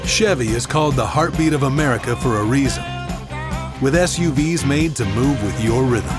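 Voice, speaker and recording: A man announcing a scripted car commercial with a smooth, measured delivery. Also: mid-range voice, lively intonation. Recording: music bed under voice. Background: loud guitar music.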